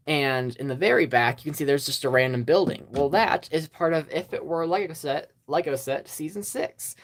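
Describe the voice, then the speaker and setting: A YouTube host in a casual, rambling channel update, hesitant and self-deprecating, with many ums and small laughs. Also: mid-range voice. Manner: self-deprecating about own past work. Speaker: stop-motion channel host.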